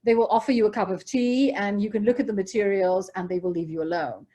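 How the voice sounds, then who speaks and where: A woman with a Goan accent delivers a scholarly keynote over a video call. Her voice sits at an ordinary middle pitch, and her delivery is measured and lecturing, with friendly asides to her listeners. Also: steady tone, dark tone. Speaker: keynote speaker. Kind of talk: academic lecture. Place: video call from home or office. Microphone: computer or headset mic on a video call.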